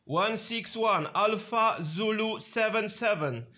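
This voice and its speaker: High-pitched Polish man on radio duty. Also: slow pace, noisy background. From Poland. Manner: repetitive, clipped phrases. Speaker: CB radio operator. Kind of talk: radio contact calls with spelled-out call signs.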